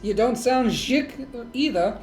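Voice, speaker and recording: A woman playing a wry, weary male private detective in a noir parody, speaking in a dry deadpan. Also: fairly high pitch, takes it slowly, slight background noise. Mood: deadpan, skeptical. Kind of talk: noir parody dialogue and narration. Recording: roomy echo, faint music underneath.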